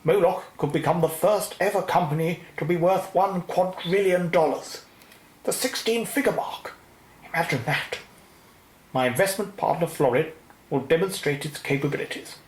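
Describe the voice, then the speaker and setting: Boastful male executive acted by a man, rather high voice, roomy radio-play sound. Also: normal speed, lightly acted. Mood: grandiose. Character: grandiose corporate salesman pitching to investors. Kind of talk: radio drama acting.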